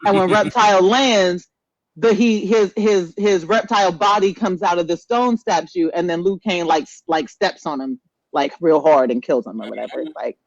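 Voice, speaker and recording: A man with a distinctly high voice chats casually as a podcast co-host. He is blunt and slangy and hammers points by repeating them. The sound is dry and rather dull. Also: normal speed, no room sound. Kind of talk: casual movie chat.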